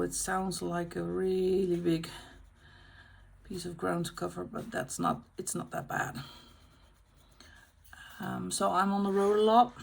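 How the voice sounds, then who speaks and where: A middle-aged woman from the Netherlands with a Dutch accent, chatting casually in English as the host of a colouring channel, pausing often to find her words. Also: mid-range voice, gravelly. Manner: unscripted, pauses to find words. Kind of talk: casual chat while colouring.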